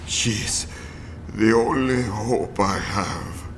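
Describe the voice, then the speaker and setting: An actor voicing a tormented male scientist turned vampire in a video game, halting, pained and pleading. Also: fairly low pitch, slight background noise. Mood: weak, pleading. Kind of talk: video game cutscene acting.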